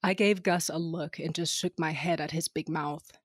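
A woman's voice: deep voice, steady tone, moderate pace, dry recording, studio quality. A woman playing a brash, cynical smuggler captain, narrating an audio drama in a wry, flippant first person. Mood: matter-of-fact.

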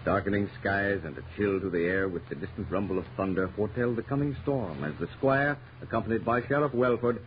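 Low-voiced dramatic radio narrator, man. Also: brisk, few pauses, slight background noise. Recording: muffled 1930s radio broadcast with music. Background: music.

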